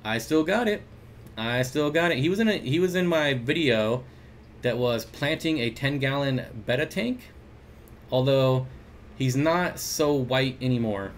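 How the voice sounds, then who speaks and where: A man hosts a home aquarium livestream from his desk mic, chatting loosely and reading out viewer comments between jokey asides. His voice sits in a middle pitch, and a faint hum of room noise runs underneath. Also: expressive, unhurried. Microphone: desk mic at computer.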